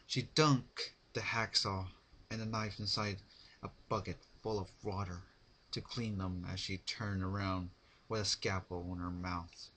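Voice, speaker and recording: A man's voice: low voice, steady tone, a little slow, bright, forceful tone, slight background noise, rough recording. A man narrating a piece of fan fiction as a dramatic reading.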